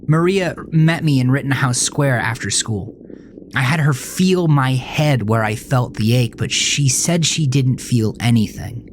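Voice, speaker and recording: A man playing a troubled teenage boy who narrates his own horror story in a brooding, confessional way, often dropping to a hushed murmur. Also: mid-range voice, unhurried, a little hiss or hum, lightly acted. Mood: uneasy, confiding. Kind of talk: dramatic first-person horror audio narration. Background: music.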